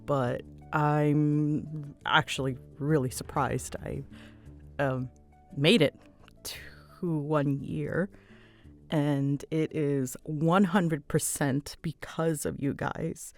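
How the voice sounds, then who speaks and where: A woman's voice: sits low, fairly even intonation, extremely slow, faint music behind. A woman chatting casually and unscripted as she answers fan questions, rambling and self-deprecating, often breaking off mid-sentence. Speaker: audio roleplay creator answering fan questions.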